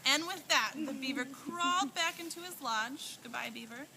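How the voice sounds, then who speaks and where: A woman with a high voice leads a children's puppet show. She narrates the story and steers the performers, recorded with very loud noise around her. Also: moderate pace. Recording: very noisy amateur room recording.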